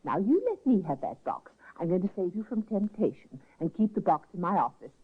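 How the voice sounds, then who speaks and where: Bossy brisk nurse, lively up-and-down voice, tinny radio. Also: female voice, medium pitch, slightly rough. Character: brisk capable female nurse running a home. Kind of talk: 1950s radio drama acting. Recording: tinny old radio broadcast.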